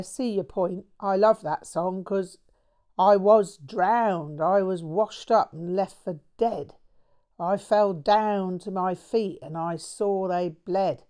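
A woman's voice: medium pitch, darkish tone, clean tone, close to an everyday voice. A woman voicing a brash, foul-mouthed English man who is bitter, manic and full of slang in an audiobook. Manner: swearing, slangy, bursts of manic cackling. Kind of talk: audiobook character voice.

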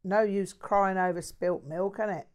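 A woman's voice: mid-range voice, fairly even intonation, unhurried, darkish tone, pitched above the performer's own voice, close to an everyday voice. A woman voicing a crude bloke. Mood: ranting. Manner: swearing, slangy, ranting. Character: crude brash working-class London man.

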